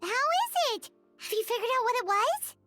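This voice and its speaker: A woman voicing a tiny, excitable female fairy companion in a game, high and squeaky with an indignant edge. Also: normal speed, full character voice. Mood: curious. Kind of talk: game voice acting. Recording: game audio with music behind.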